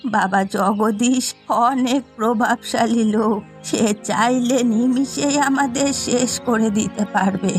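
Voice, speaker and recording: A woman's voice: fairly high pitch, slow, faint music behind. A woman voicing a poor, aging, anxious widowed village mother in a fairy-tale cartoon, pleading and sometimes tearful.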